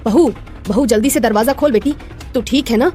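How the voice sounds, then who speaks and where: A woman's voice: fairly high pitch, brisk, warm tone, faint music behind, lightly acted. A woman voicing an anxious, suspicious middle-aged mother-in-law in a dramatic moral story. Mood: alarmed.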